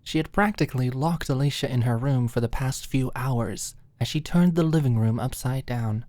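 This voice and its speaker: A woman's voice: a bass voice, normal speed, warm tone, no echo. A woman reading a scripted story as an ASMR audiobook narrator.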